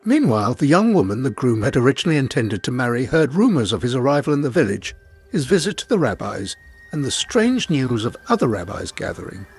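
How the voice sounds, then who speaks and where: A male storyteller with a medium-pitched voice reads a folk tale. He varies his intonation a lot as he narrates, and music plays underneath. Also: sing-song, brisk, breathy edge. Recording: music bed behind the voice.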